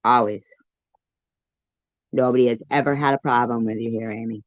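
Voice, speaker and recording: A woman hosting a casual live stream, commenting and reacting in a deliberate, repetitive way. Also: rumbling low, steady tone, no echo. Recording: dull lo-fi live stream audio.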